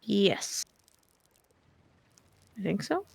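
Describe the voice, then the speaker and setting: A woman's voice: medium pitch, very animated, drawn-out words, creaky, darkish tone, breathy edge. A sleepy woman playing a game with friends, chatting casually in a playful, slangy way. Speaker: guest co-op player. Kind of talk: casual gaming chat.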